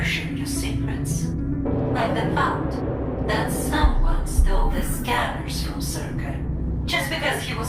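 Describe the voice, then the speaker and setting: A poised female official who flatters and threatens in the same silky breath. Her measured, persuasive lines rise and fall widely in pitch. It is video game cutscene drama, in long reverb under loud music. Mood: cool, accusing. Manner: measured, deliberate, coolly persuasive.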